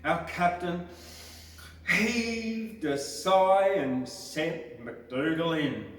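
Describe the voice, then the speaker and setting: A man reciting a bush ballad as a poetry reader, in a theatrical, deliberate storytelling style. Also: sits high, animated. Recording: very echoey, distant microphone.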